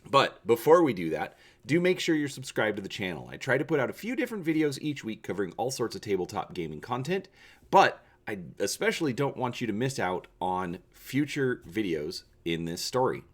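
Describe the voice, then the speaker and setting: A man hosting and narrating a storybook read-through, speaking with expressive, emphatic presenter delivery. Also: on the low side. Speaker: channel host and story reader.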